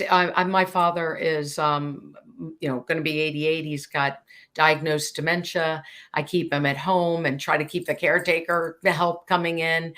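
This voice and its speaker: A woman in her fifties speaking on a conference panel. Her voice is low-pitched and a little raspy, and she speaks in an earnest, animated way. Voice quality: a bit raspy. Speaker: panelist, chief executive.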